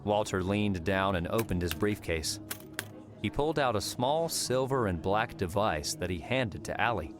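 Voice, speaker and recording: A man narrating a fiction audiobook in a measured storytelling style. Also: fairly low pitch, animated. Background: soft background music.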